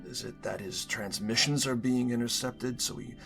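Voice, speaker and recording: A man playing a middle-aged, reserved alien scientist and anxious father, giving a measured, formal log entry in an audio drama. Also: mid-range voice, gravelly, a bit bright, faint music behind. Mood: restrained worry.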